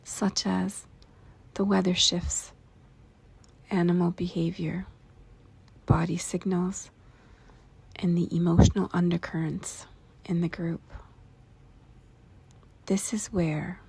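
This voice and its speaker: A woman narrating a guided meditation in a hushed, whispery, creaky voice, with deliberate short phrases and spacious pauses. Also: fairly low pitch, level tone.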